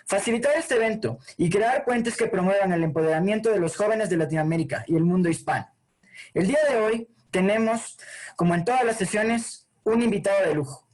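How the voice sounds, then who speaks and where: A man's voice: high-pitched, rough recording. A young man from Ecuador with an Ecuadorian accent, hosting an online session and reading a formal script over a video call. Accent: Ecuadorian Spanish. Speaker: host.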